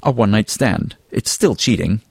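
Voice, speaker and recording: Guy doing an exasperated earnest young male critter in an audiobook, bristling, pitch jumps around a lot. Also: lively intonation, moderate pace, vocal fry, breathy edge, no room sound, exaggerated voice. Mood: accusing. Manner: insistent, exasperated, moralizing. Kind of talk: audiobook character dialogue.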